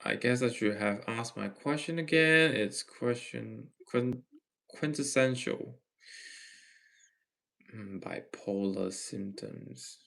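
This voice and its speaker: Slow, gravelly young man streamer. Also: mid-range voice, a bit bright. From Malaysia. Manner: slow, halting, gives earnest advice. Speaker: livestream host. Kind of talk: casual live chat reading comments.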